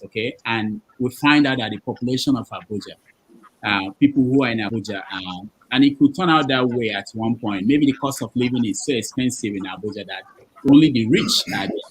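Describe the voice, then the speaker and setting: A man's voice: medium pitch, poor audio. A man moderates a live panel discussion. His voice sits in a middle register, with a faint hiss of noise behind it. He steps in to hold the floor and then walks the guests through a hypothetical. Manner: cuts in to manage speakers, then poses a long hypothetical. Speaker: host.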